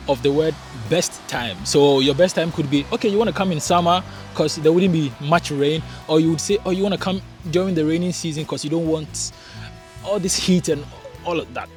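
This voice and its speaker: A young man from Ghana talks casually to his viewers, animated and quick to ask rhetorical questions, with plenty of ums along the way. His voice sits a little high for a man, and soft music plays faintly behind him. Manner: chatty, animated, asks rhetorical questions, many fillers. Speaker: vlogger. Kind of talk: casual vlog chat. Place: home in hot, humid climate.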